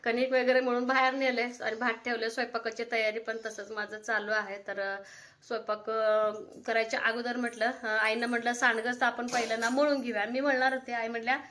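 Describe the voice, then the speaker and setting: Bright-voiced chatty Marathi woman, higher pitched. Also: flat delivery, a little fast. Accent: rural Maharashtrian Marathi. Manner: chatty, conversational. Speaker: family vlog host. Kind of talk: casual home cooking vlog narration.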